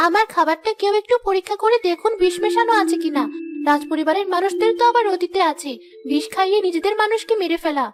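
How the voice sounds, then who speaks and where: A woman voicing a bold, clever young girl in an animated fairy tale, with music behind her. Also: very high-pitched, fast. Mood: accusing. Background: background music.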